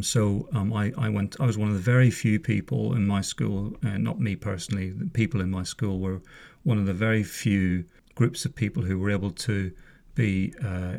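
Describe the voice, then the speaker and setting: A man in his fifties from Northern Ireland talks casually at home, wry and easygoing, drifting into asides. His voice sits fairly low and has a gravelly edge. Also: a little mellow. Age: 50s. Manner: chatty, wry, wanders off on asides. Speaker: podcast host, former police officer. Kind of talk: casual spoken monologue. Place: home room.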